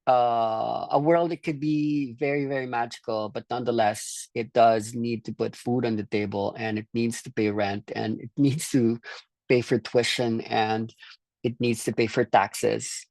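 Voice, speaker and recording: A course leader from the Philippines speaking reflectively to a group in a halting, thoughtful way, often repeating words. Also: medium pitch, fairly even intonation, vocal fry, darkish tone, dry recording. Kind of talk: reflective group discussion talk.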